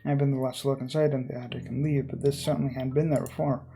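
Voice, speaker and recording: A man's voice: medium pitch, level tone, quick pace, vocal fry, mellow, slight background noise. A man reading a horror visual novel aloud as dramatic narration, now and then stumbling over words and rereading them.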